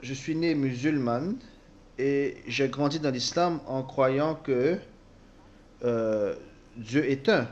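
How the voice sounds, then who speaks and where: A man interpreting a personal testimony, speaking haltingly with repeated words and self-corrections. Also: medium pitch, muffled recording. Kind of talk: spoken translation of a personal testimony.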